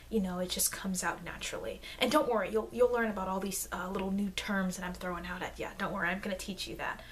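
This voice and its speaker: A woman's voice: medium pitch, close to an everyday voice. Flustered, friendly vampire girl played by a woman, noisy recording. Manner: awkward, hesitant, rambling, chatty. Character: friendly, flustered, eager vampire girl, thousands of years old. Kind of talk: ASMR situational roleplay. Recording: noisy amateur microphone, background hiss.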